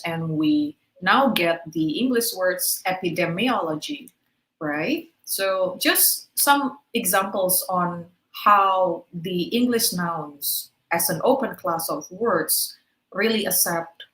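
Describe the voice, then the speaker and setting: A woman's voice: on the low side, lively intonation, slow, fairly bright tone, reverberant, rough recording. A woman with an Indonesian accent, a native speaker of Indonesian, giving an academic webinar lecture to teachers and explaining points step by step. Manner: explanatory, checks in with "right?" and "okay". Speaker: webinar presenter. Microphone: webinar computer mic.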